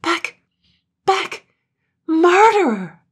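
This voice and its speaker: A woman reading a story aloud, voicing a terrified young Highland woman who cries out shrilly and frantically. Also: very animated, drawn-out words, exaggerated voice. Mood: frantic.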